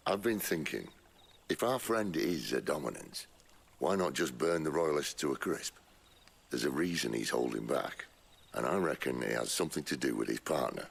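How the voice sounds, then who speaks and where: Middle-aged outlaw boss for fantasy game: rock-bottom gravel voice, wry, gruff, weary. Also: male voice, a bass voice, animated, moderate pace, heavily acted. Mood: wry, pondering. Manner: wry, dry, colloquial. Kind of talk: video game cutscene acting.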